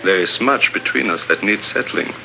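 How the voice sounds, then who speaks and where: An actor playing a haughty, menacing foreign agitator with formal, pompous phrasing in a 1950s radio adventure. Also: male voice, fairly low pitch, animated, moderate pace, gravelly, bright, forceful tone, heavily acted. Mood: cold, menacing. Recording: noisy old radio drama recording.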